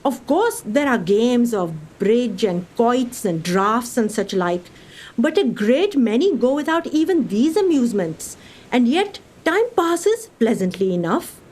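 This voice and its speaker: A woman playing a cheerful, self-reliant Indian lady traveller of around 1920, recounting a voyage in a genteel, expressive way for a radio play. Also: a little high, a little slow. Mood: wistful, contented.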